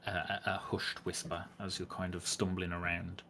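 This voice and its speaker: A man's voice: low-pitched, flat delivery, normal speed, vocal fry, bright, forceful tone. A man acting as game master, narrating a tabletop game casually with a loose, filler-heavy delivery. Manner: loose, filler-heavy, keeps saying like and sort of. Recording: some background noise.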